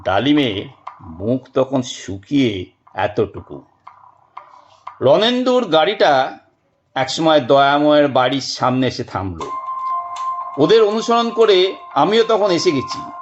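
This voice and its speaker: Brief: male narrator for detective audio drama, unhurried and dramatic, dull recording with faint music. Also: on the high side, very animated. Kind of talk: dramatized first-person detective story narration. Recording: dull, band-limited audio. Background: faint background music.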